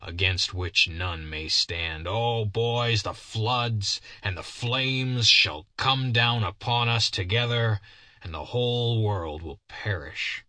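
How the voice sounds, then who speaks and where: A man's voice: deep voice, steady tone, slow, dry recording. A man voicing a haggard, simple-minded man who earnestly preaches doom and prays in biblical cadence. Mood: fervent warning.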